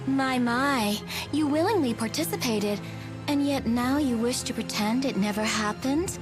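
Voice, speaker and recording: A woman's voice: high voice, unhurried, over music. An actress playing a haughty, duty-bound female spirit in a video game, speaking formally and imperiously with precise diction. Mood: cold, scornful. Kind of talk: dramatic video game voice acting.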